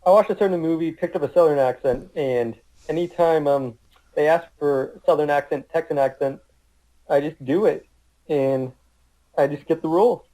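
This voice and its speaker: A man's voice: on the high side, normal speed, warm tone, poor audio. A man chatting casually, an amateur voice actor talking among friends. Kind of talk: casual chat.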